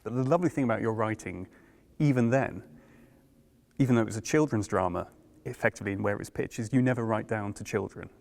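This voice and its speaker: Man with a creaky voice, chatting. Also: on the low side, quick pace, a little mellow. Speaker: interviewer and creative collaborator. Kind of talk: casual conversation.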